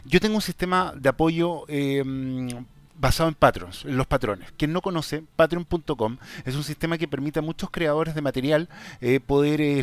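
A man with a Chilean accent hosting a casual vlog, talking to viewers in a direct, emphatic and somewhat halting way. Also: a little high. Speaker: YouTube channel host. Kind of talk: casual vlog talk, answering viewer questions.

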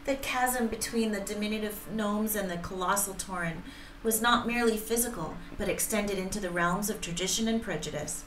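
A woman's voice: medium pitch, brisk, fairly bright tone. A middle-aged woman reading a romance story aloud, carefully but with occasional stumbles over words. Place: home room, indoors, at a desk. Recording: roomy sound, faint background noise.

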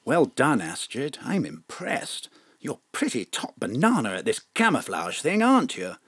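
A man's voice: medium pitch, huge pitch swings, normal speed, slightly rough, no echo, exaggerated voice. Sly, confiding male spy chief. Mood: confiding, droll. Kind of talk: audio drama acting.

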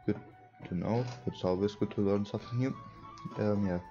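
A young man, not a native English speaker, doing a casual let's play and reading game dialogue aloud in a halting way. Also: lowish voice, fairly even intonation. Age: young adult. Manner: halting, stumbles while reading. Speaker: let's player. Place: indoor room at a computer. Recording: dull lo-fi sound. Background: game music.

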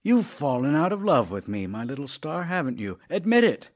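A man's voice: on the high side, very animated, normal speed. An actor playing an ailing, tender, wry writer reading his love letters aloud in an audio drama, in a theatrical, intimate manner. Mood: teasing, tender. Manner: theatrical, wry, intimate, shifting from emphatic to hushed.

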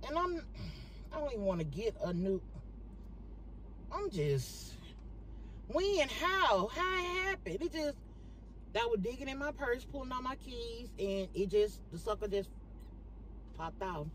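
A woman chatting casually to her viewers in a vlog, animated and talking straight to the camera from a parked car on her phone. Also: a little high, a little slow, long pauses, a little hiss or hum, rough recording. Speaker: vlogger.

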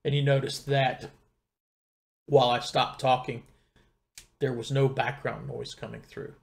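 Man, middle-aged, medium pitch, casual tech tutorial, halting, thinking aloud, desktop mic at home. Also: flat delivery, gravelly, a little mellow. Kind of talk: casual step-by-step gear tutorial. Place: home computer desk in a wood-panelled room. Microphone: desktop condenser mic about two feet away.

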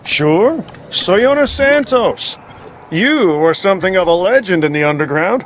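A woman's voice: mid-range voice, expressive, unhurried, slight background noise, natural voice. Woman acting wry, wary park manager. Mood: wary, sardonic. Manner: sardonic, conversational.

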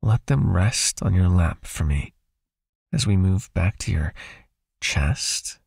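Male vampire captor, acted by a man for ASMR roleplay: very husky, unhurried, close dry sound, possessive yet soothing, coaxing his knight into obedience. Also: big pitch swings, no room sound, exaggerated voice. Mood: soothing, guiding. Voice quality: husky, breathy, low, often near a whisper. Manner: slow, coaxing, gently commanding. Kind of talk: intimate ASMR hypnosis roleplay.

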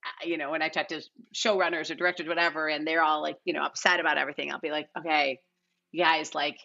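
A woman's voice: deep voice, level tone, fast talker, vocal fry, sharp tone. A middle-aged American woman chatting casually and ramblingly as a guest in an interview over a video call. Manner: rambling, peppered with "like" and "you know". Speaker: casting director, podcast guest. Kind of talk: casual, unscripted interview chat. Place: bright living room at home. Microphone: video call, wearing headphones. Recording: slightly dull, lo-fi video-call audio.

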